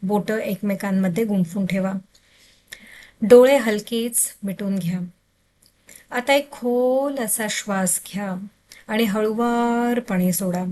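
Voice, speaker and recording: Woman doing guided meditation, slow n soothing, mid pitch, lots of pauses between short phrases. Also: a little mellow.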